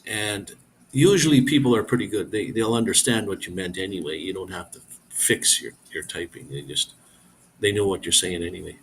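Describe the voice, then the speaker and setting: A man's voice: deep voice, moderate pace, a little hiss or hum. A middle-aged man hosting a casual live stream, rambling as he reads viewer comments aloud and answers them.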